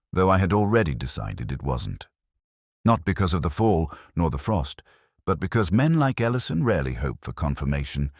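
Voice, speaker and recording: A man reads a scripted first-person detective story in a measured, literary way with deliberate pauses. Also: very deep voice, no echo, clean studio sound. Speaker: narrator.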